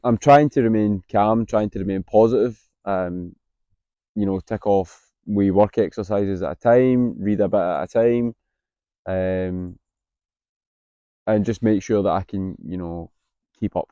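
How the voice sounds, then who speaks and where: A Scottish man in his late twenties, a little husky from being unwell, talking candidly to camera outdoors with plenty of hesitant ums. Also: on the low side, slow pace, pauses often, warm tone, dry recording, lo-fi sound. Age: 20s. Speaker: vlogger, university student. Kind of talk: casual vlog to camera. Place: outdoors on a campus.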